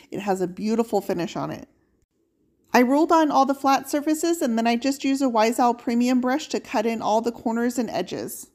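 Slightly high-voiced woman explaining a makeover. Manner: steady, explanatory step-by-step walkthrough. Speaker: furniture painting channel host. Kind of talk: instructional voice-over.

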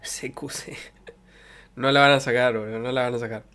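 A young man with an Argentine accent from Santa Fe talks in a medium-pitched voice. He chats like a streamer telling stories to his viewers, loose, colloquial and jokey. He speaks close into a desk microphone. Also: fairly even intonation, fairly quick, fairly bright tone. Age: young adult. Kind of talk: casual livestream chat, reminiscing. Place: bedroom streaming setup. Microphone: close desk microphone with pop filter.

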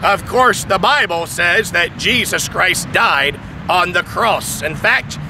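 A man's voice: high-pitched, slow pace. A man in his thirties preaching in an open park at dusk, speaking into a handheld mic with emphatic, repetitive storytelling. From Thailand. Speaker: street preacher. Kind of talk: outdoor sermon storytelling. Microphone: handheld mic, camera at mid distance. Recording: outdoor recording with clear traffic noise. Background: city traffic.

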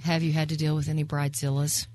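A woman's voice: low voice, flat delivery, fast, darkish tone. A woman interviewing guests conversationally as a radio host, on a close studio microphone.

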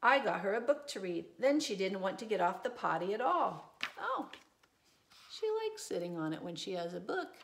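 A middle-aged woman reading a children's storybook aloud, adding gentle, reassuring asides in simple phrases for young children. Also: mid-range voice, sing-song, normal speed, vocal fry, slight background noise. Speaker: preschool teacher reading a picture book aloud. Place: small indoor room with desk and shelves.

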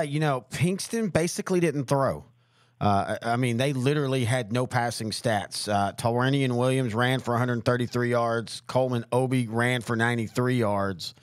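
Mid-pitched man talking sports, dry sound. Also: no echo. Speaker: sports show co-host and analyst. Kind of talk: casual sports discussion.

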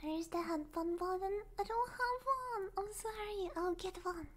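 Young asmr streamer girl, super high cutesy anime voice, breathy n whispery, really slow, right up on the mic. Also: a little hiss or hum. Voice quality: soft, breathy, often whispering. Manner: cutesy, anime-style girlish delivery with Japanese catchphrases. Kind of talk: ASMR, casual livestream chat. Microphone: close binaural ASMR microphone.

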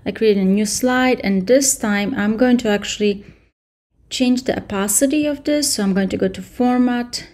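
A woman in her thirties giving a casual screen-share software tutorial indoors at her computer, explaining step by step with frequent fillers. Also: medium pitch. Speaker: tutorial presenter.

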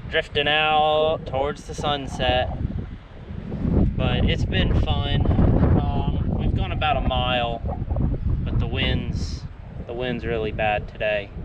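A young man vlogging from a kayak on open water, relaxed and easygoing, speaking to an action camera. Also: mid-range voice, takes it slowly, lo-fi sound. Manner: relaxed, easygoing, a few fillers. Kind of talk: casual travel vlog. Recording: wind noise on action camera.

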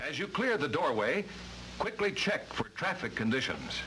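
A man's voice: on the high side, big pitch swings, normal speed, background noise. A man reading formal, precise instructional narration for a vintage training film, on a worn old soundtrack.

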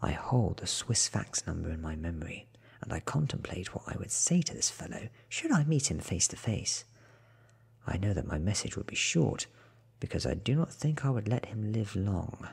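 Male ASMR reader, thirties: low, very breathy, soft-spoken and deliberate narration. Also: very animated. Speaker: audiobook reader. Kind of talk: soft-spoken ASMR book reading, partly whispered.

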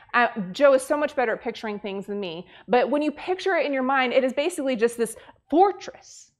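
A woman in her forties, a pastor, preaching a conversational sermon in a church sanctuary and acting out the characters' lines as she tells the story. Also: sits high.

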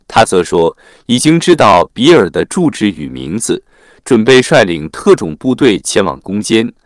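A man's voice: on the low side, dry recording, clean studio sound. A man reading a scripted film recap as narrator, in a formal storytelling way. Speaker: narrator of film plot summaries.

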